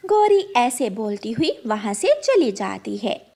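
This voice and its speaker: A woman's voice: on the high side, sing-song, slow, gravelly, darkish tone. A woman narrating a scripted children's story as the storyteller.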